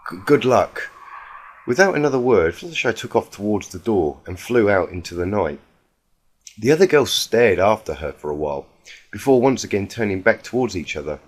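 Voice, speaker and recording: A man with a fairly low voice reads fiction aloud in a dramatic narrating style. His pitch moves around expressively through the prose. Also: very animated, brisk. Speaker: fan fiction reader. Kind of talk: dramatic scripted story narration.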